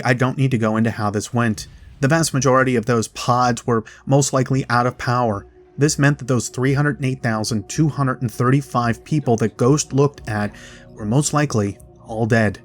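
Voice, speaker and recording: A man hosting a game lore video, delivering narrated commentary directly to viewers with dramatic pauses. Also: medium pitch. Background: background music.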